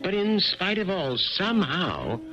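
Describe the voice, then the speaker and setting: Pompous, swooping high-ranking bureaucrat. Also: male voice, huge pitch swings, unhurried, heavily acted. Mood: haughty narration. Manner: pompous, haughty, impatient. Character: pompous, dismissive Russian inspector general, self-important bureaucrat. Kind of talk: old-time radio drama acting. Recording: dull 1970s radio recording, music.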